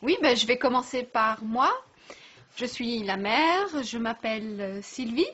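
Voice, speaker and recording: A woman's voice: fairly high pitch, a little slow, a bit bright, breathy edge, muffled recording. A middle-aged French woman, a mother and language teacher, chatting easily in an interview and laughing lightly as she explains. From France. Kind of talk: casual interview chat.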